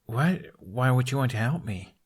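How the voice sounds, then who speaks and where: A man's voice: mid-range voice, lively intonation, moderate pace, husky, voice pushed up. Man playing a nervous, sarcastic young runaway royal. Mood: hesitant, guarded. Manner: hesitant, flustered, dry.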